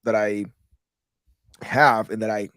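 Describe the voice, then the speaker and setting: A man's voice: on the low side, fairly even intonation, slow pace, a bit bright, clean tone, no echo, studio quality. A man in his thirties from Guatemala, a guest in a podcast interview, talking in a loose, rambling way with many restarts. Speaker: guest, real estate investor.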